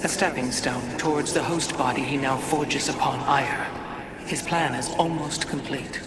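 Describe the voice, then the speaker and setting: A man voicing a solemn alien lore-keeper in a video game, measured and declamatory. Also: mid-range voice, steady tone, heavy background noise, close to an everyday voice. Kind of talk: video game cutscene acting. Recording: loud music behind the voice.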